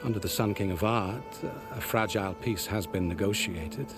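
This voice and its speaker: An actor plays a cautious, courteous man of rank among a tribe in a video game. His voice is fairly low, with formal, measured phrasing and a little hesitation. Music plays clearly behind. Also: natural voice. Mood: cautious, explanatory. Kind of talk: video game cutscene acting.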